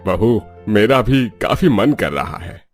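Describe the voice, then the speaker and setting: A genial older father-in-law from a cartoon family story, acted by a man. His voice is fairly low. Faint music plays behind him as he talks like a food-loving family elder. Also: sing-song, takes it slowly. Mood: pleased. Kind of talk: Hindi cartoon story dialogue.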